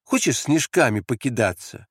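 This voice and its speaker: A man's voice: mid-range voice, expressive, moderate pace, husky, dry recording, studio quality. A man voicing a weary, restrained foreign soldier in an audiobook, with an ironic manner. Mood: wry, dismissive.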